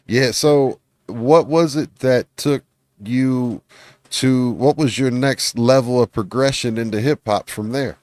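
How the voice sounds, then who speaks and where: A man's voice: medium pitch, slow, darkish tone, no echo. A man hosting a podcast interview, laid-back and slangy, often affirming his guest as he asks questions. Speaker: podcast host.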